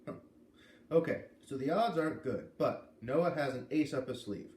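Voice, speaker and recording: A man doing an expressive storybook read-aloud, pausing to explain and comment as he goes. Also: mid-range voice. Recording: echoey room with clear background noise.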